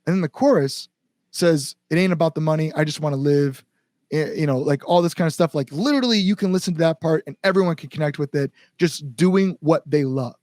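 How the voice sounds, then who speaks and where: Higher-voiced man chatting earnestly, dry sound. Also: fairly quick, darkish tone, no room sound. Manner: rambling, earnest, repeats words for emphasis. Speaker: band frontman and songwriter, podcast guest. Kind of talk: casual podcast interview chat.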